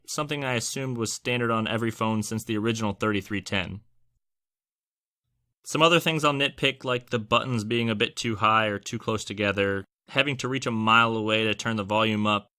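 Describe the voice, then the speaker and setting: A man's voice: medium pitch, a little fast, sharp tone. A man hosting a scripted product review, narrating with wry, joking asides. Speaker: tech reviewer and host.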